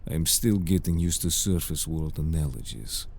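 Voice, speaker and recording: Man acting very deep, composed merman, dryly exasperated. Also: lively intonation, gravelly, a little mellow, exaggerated voice. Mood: calm, measured. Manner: formal, measured, dry. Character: composed, exasperated older-brotherly male merfolk in human form. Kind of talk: scripted audio roleplay acting.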